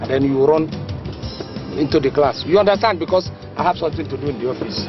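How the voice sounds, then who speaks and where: A man's voice: a little high, fast, dull audio, music in the background, close to an everyday voice. An actor with a Nigerian accent playing a distrustful middle-aged husband and father who scolds and scorns. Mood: stern. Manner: scornful, scolding, repeats himself.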